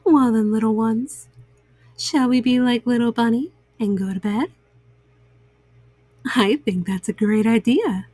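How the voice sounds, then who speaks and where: A woman telling a bedtime story to young children in a gentle, motherly, expressive manner. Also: a little high, a little slow, long pauses, slightly rough, no echo.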